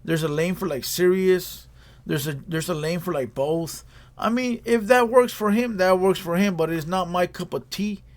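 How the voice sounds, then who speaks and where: Male reaction host, slightly high voice, blunt and slangy, casual commentary that hammers each point. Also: moderate pace. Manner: blunt, repetitive, slangy lecturing.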